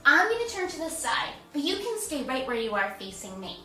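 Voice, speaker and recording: A woman hosting a children's read-aloud and movement lesson, instructing young children in an animated, singsong way. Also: sits high, fairly quick. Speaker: children's yoga and story time host. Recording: roomy echo, distant mic, music bed. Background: music.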